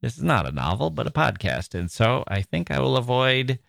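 A man's voice: mid-range voice, sing-song, brisk, creaky, a bit bright, dry recording, studio quality. A man hosting a literature podcast, talking listeners through his notes in a chatty, wry, self-mocking way with asides. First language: English. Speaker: podcast host. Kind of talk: scripted podcast narration.

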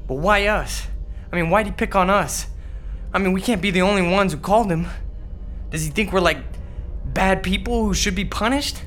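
An actor playing an earnest, frightened young man trapped in an audio horror drama, halting and agitated. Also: high-pitched, fairly bright tone, soft background music. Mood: anxious, bewildered. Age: young adult.

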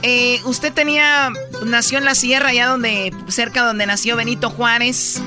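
A man's voice: very high voice, steady tone, unhurried, over music. A man hosting a radio interview, guiding the guest by recapping his story and asking questions. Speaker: radio host.

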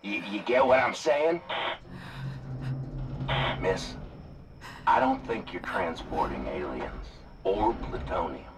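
Lively, gossipy male trucker. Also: on the low side, slow, pauses often, far from the mic, background noise. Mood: conspiratorial. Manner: folksy, rambling, animated storytelling. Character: colorful, gossipy male trucker, jokey conspiracy theorist. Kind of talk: audio fiction drama acting.

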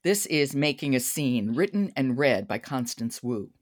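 Female podcast host with a notably low register, conversational and wry. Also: lively intonation, a little slow. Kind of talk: conversational book talk and interview.

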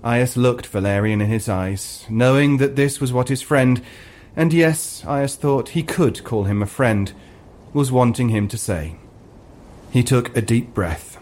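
A man's voice: mid-range voice, unhurried, a little mellow. A man giving a dramatic scripted narration of a fantasy story.

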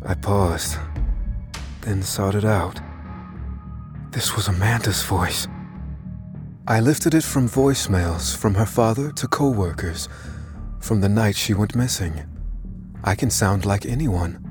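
Low-voiced, tense thriller narrator. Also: male voice, lively intonation, takes it slowly, pauses often, music in the background. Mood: uneasy, resolute. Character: unassuming armed man hunting a killer, tense but steady. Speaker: narrator and lead character. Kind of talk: first-person audio drama narration.

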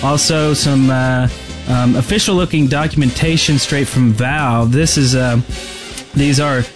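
A man co-hosting a podcast in casual chat, rambling and halting as he talks. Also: medium pitch. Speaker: podcast co-host. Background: music.

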